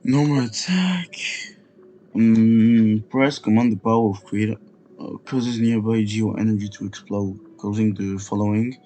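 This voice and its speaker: Slow-talking man doing casual game commentary. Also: on the low side, dark tone, a little hiss or hum, low-quality recording. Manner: muttering reactions, thinking aloud. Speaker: gaming video host. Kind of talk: casual let's play commentary. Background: game music.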